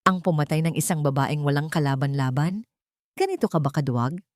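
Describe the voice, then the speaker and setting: A woman's voice: low-pitched, big pitch swings, brisk, a little mellow, no echo, studio quality. A Filipino woman voicing a composed, defiant wronged wife in an audiobook drama. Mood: angry challenge. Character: fearless, defiant wronged wife confronting her husband.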